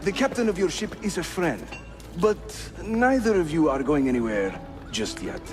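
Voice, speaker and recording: A man's voice: fairly high pitch, big pitch swings, normal speed. An actor playing a jovial, loyal Ottoman assassin in a video game, playful and theatrical. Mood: teasing, jovial. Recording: clear music behind the voice.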